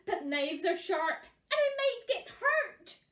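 Woman voicing a high, wailing, timid storybook cook. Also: sing-song, a bit bright, roomy sound, far from the mic, full character voice. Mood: scared, whining. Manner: plaintive, drawn-out, panicky wailing. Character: timid, wimpy, terrified male cook, whining dramatically.

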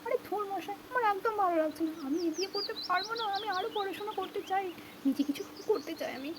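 A woman's voice: extremely high pitch, fast, noisy background. A woman voicing a fretful, reluctant young bride-to-be in a children's doll puppet play, chatting. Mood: fretful, complaining. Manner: fretful, chatty, code-mixes English exclamations. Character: young village-dressed woman student, reluctant about arranged marriage. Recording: loud music behind the voice.

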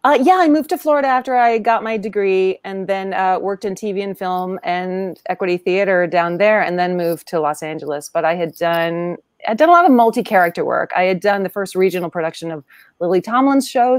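A middle-aged woman talking in an animated, chatty way, full of quick restarts. She speaks on a video call from home, and the sound is close and dry with no room echo. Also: mid-range voice. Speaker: guest puppeteer and actor. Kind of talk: casual video call conversation.

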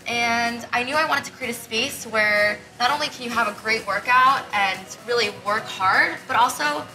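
A woman's voice: a little high, moderate pace, bright, forceful tone, faint music behind, poor audio. A young woman speaking earnestly in an interview as the founder of a business. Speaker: gym founder being interviewed.

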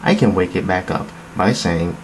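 Man, low voice, casual app demonstrator, conversational, explanatory, faint background noise. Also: normal speed, poor audio. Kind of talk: casual tech demo narration. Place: indoors, phone demo on a wooden table.

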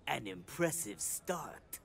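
An actor playing an imperious sorcerer who presides over a deadly tournament in a video game, with theatrical, commanding delivery. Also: male voice, mid-range voice, lively intonation, slow pace, gravelly, noisy background. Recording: loud dramatic music underneath.

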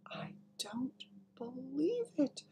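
A woman's voice: on the high side, huge pitch swings, normal speed, a little mellow, slight background noise, voice pushed up. A woman voicing a dignified, disbelieving yet gracious queen in a storybook, polite and commanding. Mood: stunned disbelief.

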